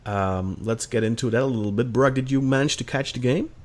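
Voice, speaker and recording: A thirty-year-old man from Belgium hosting a casual football podcast, upbeat and quick, with the odd laugh. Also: mid-range voice, a little hiss or hum. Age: 30s. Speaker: podcast host.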